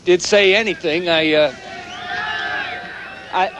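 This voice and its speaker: High-voiced, shaken field reporter. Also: male voice, a bit bright, highs cut off, a faint crowd. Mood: shaken, halting. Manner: halting, stammering. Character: shaken male field reporter, dazed after surviving a hostage ordeal. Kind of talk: live on-scene news report. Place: outdoors on a city dock. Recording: noisy outdoor live remote news feed.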